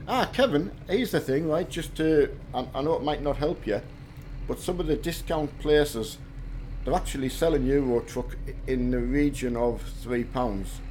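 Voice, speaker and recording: A middle-aged man with a slightly croaky voice, chatting casually with viewers of his live stream while he plays. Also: mid-range voice, moderate pace, darkish tone, a little hiss or hum. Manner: chatty, friendly, answers chat viewers one by one. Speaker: streamer greeting chat.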